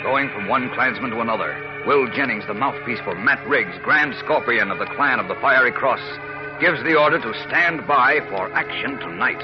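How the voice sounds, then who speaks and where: Male serial narrator, emphatic, suspenseful, old-time radio style, muffled recording, loud music behind. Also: mid-range voice, normal speed. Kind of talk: dramatic scripted 1940s radio narration.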